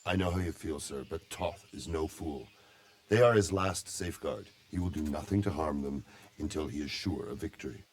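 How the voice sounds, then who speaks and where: An actor playing a stern, loyal medieval military captain in a video game, grave and respectful. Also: male voice, rumbling low, moderate pace. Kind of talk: dramatic video game acting.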